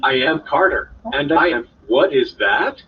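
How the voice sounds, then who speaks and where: A synthesized male voice from a speech device, reading a children's story in a deliberate machine delivery. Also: mid-range voice, lively intonation. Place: played from a device in a school room. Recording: dull, distant, noisy speaker playback.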